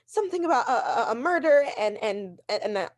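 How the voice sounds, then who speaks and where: Radio mystery drama, with an actress as a haughty, neurotic invalid wife. Her voice is high and swings widely as she demands and insists. She is agitated and imperious throughout. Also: big pitch swings, fairly quick. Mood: frantic, pleading.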